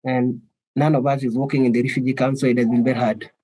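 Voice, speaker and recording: A man's voice: mid-range voice, level tone, fast talker, warm tone, rough recording. A man from South Sudan asking a question on a webinar, earnest and hesitant, over a video call.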